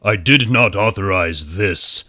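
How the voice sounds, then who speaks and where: Deep, slow sand demon. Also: male voice, animated, sharp tone, no room sound, clean studio sound, heavily acted. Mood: menacing. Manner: slow, commanding, menacing. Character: menacing, morbid sand demon overlord. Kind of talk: radio play acting.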